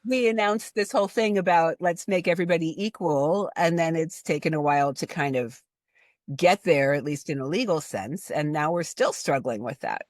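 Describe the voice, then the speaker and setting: A woman in her fifties from Cleveland, a guest expert talking casually, her pitch jumping high when she stresses a point. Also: normal speed, no room sound, studio quality. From Cleveland, Ohio. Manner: animated, pitch jumps high for emphasis. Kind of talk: casual conversational discussion.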